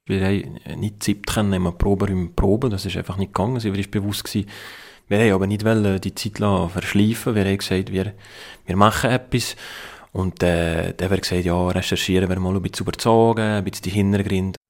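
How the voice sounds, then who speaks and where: Fast-talking man with Valais accent. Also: low voice, steady tone, vocal fry. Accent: Valais Swiss German. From Valais, Switzerland. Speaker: band member being interviewed. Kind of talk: spontaneous interview talk.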